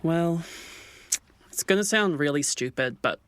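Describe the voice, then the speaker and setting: A man's voice: on the high side, lively intonation, measured pace, a bit bright, no room sound. A man voicing an insecure, self-deprecating swan in an audio drama, hesitant and awkward in speech. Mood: nervous, awkward.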